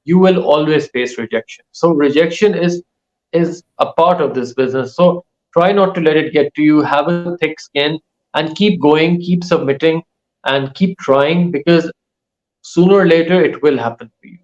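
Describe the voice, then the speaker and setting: A male author, whose first languages are Urdu and Saraiki, giving casual interview answers in a thoughtful way that pauses often mid-sentence. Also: a little high, darkish tone, lo-fi sound. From Pakistan. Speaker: author and writing teacher.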